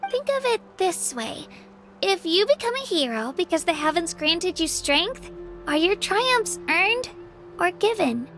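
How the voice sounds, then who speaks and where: A woman's voice: very high voice, sing-song, unhurried, fairly bright tone, soft background music, heavily acted. A woman voicing an eager, modest young girl, an apprentice warrior in a video game, earnest and girlish.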